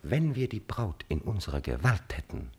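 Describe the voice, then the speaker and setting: Scheming, low-voiced court chamberlain. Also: male voice, sing-song, moderate pace, breathy edge, full character voice. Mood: goading, sly. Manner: insinuating, theatrical. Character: scheming male court chamberlain, servile, cunning, cold-bloodedly ambitious. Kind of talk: German classical stage drama acting.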